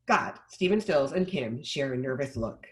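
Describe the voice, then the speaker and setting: Lively, very varied male narrator. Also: mid-range voice, normal speed. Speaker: narrator reading stage directions. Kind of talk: scripted narration.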